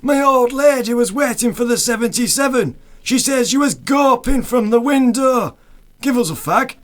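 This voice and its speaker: A man acts a terse working-class husband in a radio play. His voice sits very high for a man, and he grumbles along in short, slangy remarks. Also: expressive, moderate pace, a bit bright. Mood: grumbling.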